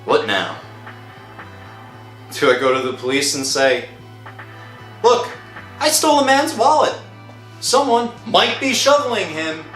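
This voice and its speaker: Man playing frantic guilt-ridden bloke, swinging pitch, echoey. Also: big pitch swings, a bit bright, heavily acted. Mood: frantic, exasperated. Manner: agitated, dramatic, halting confessions. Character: frantic guilt-ridden working-class man who robbed a body. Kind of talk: old-time radio thriller acting. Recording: roomy echo with music underneath.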